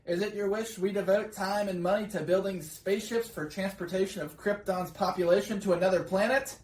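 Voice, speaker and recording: A man's voice: high voice, steady tone, brisk, close to an everyday voice. A man playing a stern, pompous chairman of an alien council, formal and declaiming in retro radio-theater style. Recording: echoey, distant, noisy.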